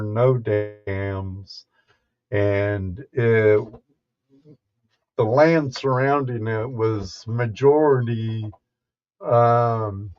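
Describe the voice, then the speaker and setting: A man hosting a casual livestream, chatting and telling stories in a halting, unhurried, folksy way. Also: on the low side, muffled recording. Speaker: livestream host and storyteller. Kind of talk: casual livestream chat and storytelling.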